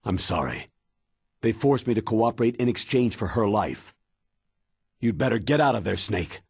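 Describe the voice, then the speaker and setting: Low-voiced, remorseful colonel. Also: male voice, animated, moderate pace, creaky, darkish tone, husky, dry recording, exaggerated voice. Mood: grave, remorseful. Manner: earnest, grave. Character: weary, remorseful older male military commander. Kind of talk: dramatic video game cutscene acting.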